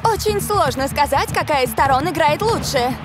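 A woman voicing an excitable sports reporter and match commentator in a cartoon. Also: sits high, normal speed. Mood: excited. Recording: music behind the voice.

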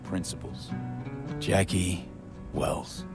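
A very low male voice over clear guitar music. a tough young game mercenary in mourning, loyal and plain-spoken, with solemn, measured delivery and street slang. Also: fairly even intonation, exaggerated voice. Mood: solemn, nostalgic. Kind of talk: cinematic video game acting. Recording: music behind the voice. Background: plucked guitar music.

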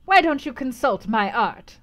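Woman putting on a harsh old crone fortune teller, bold and decided, loud and knowing. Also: a little high, very animated, a little slow, faint music behind, pitched above the performer's own voice.

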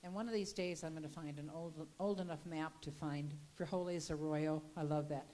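An older woman with a slightly low voice tells local history in a folksy, chatty way, often restarting her words. She speaks into a handheld mic in an indoor gallery room during a slide presentation. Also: steady tone, normal speed, dark tone.

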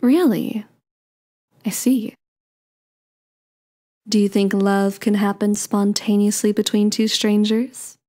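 Woman acting as slow-talking, sweet young celestial girl. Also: medium pitch, flat delivery, natural voice. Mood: warm, playful. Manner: coy, slow, gently teasing. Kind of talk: ASMR romance roleplay.

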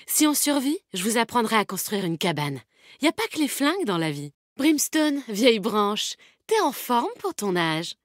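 A woman's voice: a little high, big pitch swings, normal speed, no echo, clean studio sound. An actress playing a tough, confident, protective woman fighter in a video game, with a bold, cheeky, commanding manner. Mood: cheeky, friendly. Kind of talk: video game character voice lines, combat callouts.

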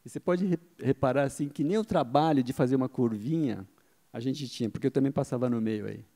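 Gravelly dark-voiced man giving a talk. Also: mid-range voice, quick pace. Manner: explanatory, asks himself questions and answers them, frequent "tá?" tags. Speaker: speaker giving a marketing talk. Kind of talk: conference talk to a live audience. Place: event hall with a seated audience. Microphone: handheld microphone.

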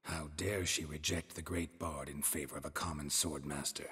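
A man playing a grizzled, stoic monster hunter in a fantasy video game, terse and deadpan with a husky voice. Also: extremely low pitch, moderate pace, full character voice. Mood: dry, deadpan. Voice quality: gravelly, husky.

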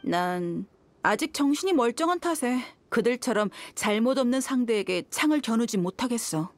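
A woman's voice: fairly high pitch, expressive, moderate pace, lightly acted. An actress voicing a confident, shrewd young female desert mercenary in a video game, cool and teasing in manner. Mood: earnest. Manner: cool, teasing, self-assured. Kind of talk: video game voice acting, story dialogue. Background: background music.

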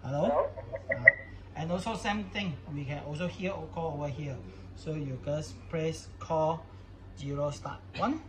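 A man's voice: on the high side, measured pace, heavy background noise. A man narrating an informal hands-on product tutorial in casual, phrasing. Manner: casual non-native English phrasing. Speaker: product demonstrator.

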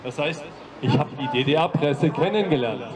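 A man in his fifties from eastern Germany speaks plainly and directly in a slightly high voice. He is heard from a distance. A crowd is around him on the street. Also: animated, measured pace. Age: 50s. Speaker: demo speaker at open mic. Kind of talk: short protest statement. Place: city street outside a broadcast building.

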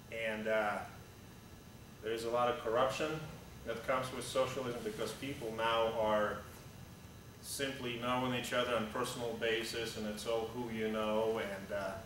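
A man's voice: medium pitch, a bit bright. A man in his thirties from Russia with a Russian accent, giving a casual, blunt slide talk as an airline pilot, with frequent "uh"s and restarts. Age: 30s. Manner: conversational and blunt, with frequent "uh" and restarts, gesturing while standing. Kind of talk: informal slide presentation to a group. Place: large echoey hangar-like meeting room indoors. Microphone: camera at the back of the room. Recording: echoey room, distant camera, background hum.

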